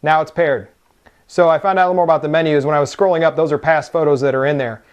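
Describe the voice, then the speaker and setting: A man's voice: on the high side, fairly even intonation, fast talker. A man reviewing a product hands-on, talking informally and explaining things step by step. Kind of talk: casual unboxing and hands-on demo talk.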